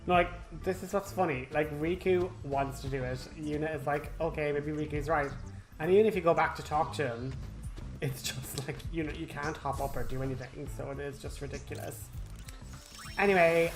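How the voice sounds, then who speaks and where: A man gives casual walkthrough commentary over game music. He is chatty and wry, in a voice of middling pitch for his range. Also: steady tone, normal speed. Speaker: gaming walkthrough host.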